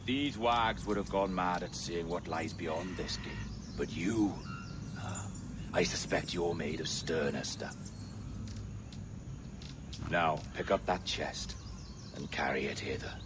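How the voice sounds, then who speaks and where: A man's voice: sits low, takes it slowly, long pauses. A man playing a cunning, grandiose pirate captain in a video game, eloquent and sardonic in a theatrical way. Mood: grandly amused. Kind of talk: video game cutscene acting. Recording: game audio with clear ambient noise.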